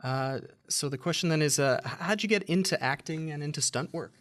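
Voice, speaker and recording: A man's voice: fairly high pitch, brisk, fairly bright tone. A man hosting a podcast interview, asking questions in a friendly, slightly stumbling way. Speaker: podcast host. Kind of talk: casual interview.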